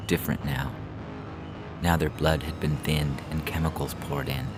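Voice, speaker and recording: A man with an extremely low, bass voice delivers scripted narration for a science fiction podcast. He reads as a storyteller, with music clearly present underneath his voice. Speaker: podcast host and story reader. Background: background music.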